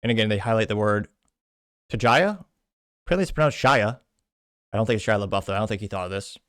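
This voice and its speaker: A man's voice: on the low side, fast talker, fairly bright tone, no room sound, studio quality. A man hosting a commentary show, casually reading comments aloud with rambling asides and self-corrections. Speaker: host.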